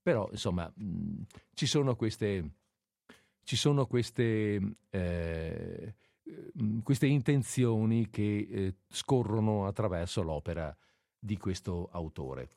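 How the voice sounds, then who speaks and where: A man's voice: lowish voice, lively intonation, slow pace, gravelly, darkish tone, no room sound, clean studio sound. A man hosting an unscripted cultural radio talk, speaking hesitantly and often searching for his words. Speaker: radio host.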